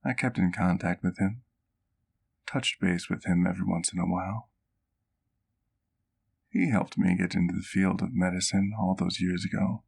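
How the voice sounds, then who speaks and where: A centuries-old gentleman vampire played by a man in an ASMR roleplay. His voice is very low with a gravelly creak. He speaks gently and formally, like a doting, wistful doctor recounting old memories in measured, literary phrases. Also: fairly even intonation. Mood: reflective.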